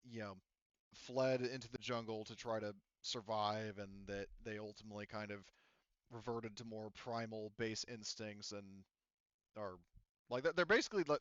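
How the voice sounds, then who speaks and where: Low smooth guy gm, lots of um and you know, kinda muffled dry sound. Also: a bit bright, no room sound. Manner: hesitant, filler-heavy, says "you know" and "like" often. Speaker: game master. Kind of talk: relaying an in-game character's words to players.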